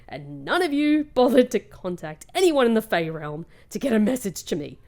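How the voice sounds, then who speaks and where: A woman's voice: mid-range voice, very animated, moderate pace, a little mellow. A woman playing a hurt, bitter young woman home from captivity in an emotional audio drama. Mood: angry, anguished. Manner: emotional, dramatic, British slang.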